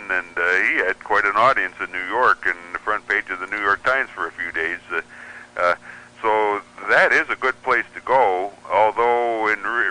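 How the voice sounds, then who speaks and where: Man, very bright forceful voice, lowish pitch, discursive explainer, casual interview guest. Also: low-quality recording. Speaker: guest, radio hobbyist. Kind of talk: casual interview talk about hobby radio.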